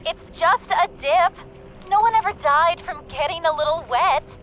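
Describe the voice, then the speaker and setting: A woman playing a feisty, loyal comrade in a video game, talking in an informal, sassy, expressive way. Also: very high-pitched, a little slow, highs cut off, a little hiss or hum. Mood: teasing, exasperated. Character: feisty, loyal, teasing female comrade of the hero. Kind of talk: casual video game companion dialogue.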